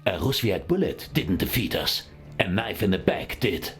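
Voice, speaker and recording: A pompous indignant aristocrat officer for a game, swoopy delivery, light music behind. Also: male voice, sits low, lively intonation, normal speed. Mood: outraged. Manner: declamatory, indignant. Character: pompous, outraged aristocratic male German officer. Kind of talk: video game dialogue.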